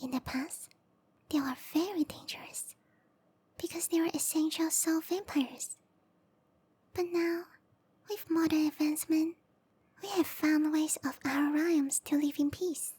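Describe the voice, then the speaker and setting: High, whispering seductive matchmaker played by a woman. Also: steady tone, a little slow, pauses often, a little mellow. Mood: sultry, informative. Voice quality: breathy whisper. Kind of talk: whispered ASMR roleplay.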